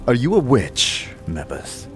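A man's voice: medium pitch, huge pitch swings, unhurried, vocal fry, soft background music, heavily acted. A man playing a brooding, determined wanderer in an audio drama, terse and earnest. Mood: curious. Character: brooding, determined male wanderer seeking power.

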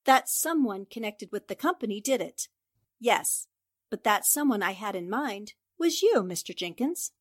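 A woman acting a sharp, confident young newspaperwoman in a 1940s-style radio drama, crisp and assured as she reasons things out. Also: medium pitch, animated, moderate pace, natural voice. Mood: coolly accusing. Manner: crisp, assured, explaining deductions.

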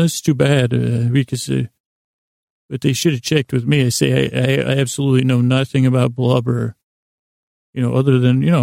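A man rambles through a whimsical sleep podcast monologue in a deeply gravelly, creaking voice. His tone is dark and relaxed, recorded close and dry. He halts, loses the thread and circles back in an absent-minded way. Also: no room sound. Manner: meandering, halting, absent-minded, trailing off and doubling back. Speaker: podcast host.

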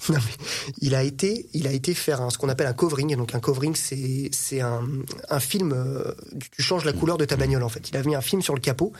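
A man in his thirties, a podcast guest telling animated anecdotes and laughing often. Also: medium pitch, a little fast, breathy edge. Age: 30s. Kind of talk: casual chat, storytelling.

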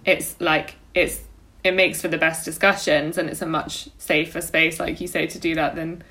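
A woman's voice: deep voice, level tone, moderate pace, sharp tone, poor audio. A woman co-hosting a podcast, talking casually and informally in loose, meandering phrases. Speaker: podcast co-host.

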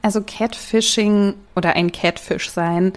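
A woman's voice: mid-range voice, steady tone, unhurried. Giggly young woman, podcast guest. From Switzerland. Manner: laughs easily, playful, asks questions. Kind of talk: casual podcast chat.

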